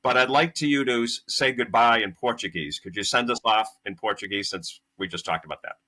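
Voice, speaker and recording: Bright-voiced male podcast host. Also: lowish voice, fairly quick, rough recording. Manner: rambling questions with many fillers. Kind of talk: casual interview hosting.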